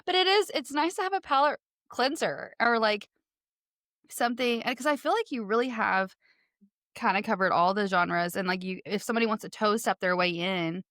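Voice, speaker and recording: A young woman with a Texan accent hosting a casual author interview, bubbly and quick to laugh. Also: medium pitch, fairly bright tone, no echo, clean studio sound. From Texas. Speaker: podcast host.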